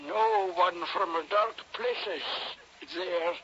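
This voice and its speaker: A submissive, superstitious servant speaks slowly in simple broken English, with a high voice. It is radio drama acting on a dull, noisy vintage recording. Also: male voice, steady tone, full character voice. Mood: ominous. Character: superstitious, deferential native male servant.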